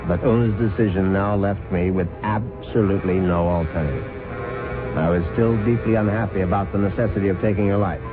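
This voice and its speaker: An actor playing a scheming husband who plots to kill his rich wife and narrates it, smooth and falsely affectionate, in a radio mystery drama. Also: deep voice, brisk, close to an everyday voice. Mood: coolly confiding. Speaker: narrator and lead character. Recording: tinny old radio broadcast with music.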